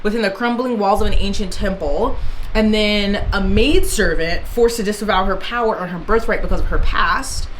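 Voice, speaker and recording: A woman's voice: medium pitch, a little hiss or hum, poor audio. A woman chatting casually on camera, rambling with fillers and restarts. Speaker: book vlogger. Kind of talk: casual book haul chat. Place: indoors, in Germany.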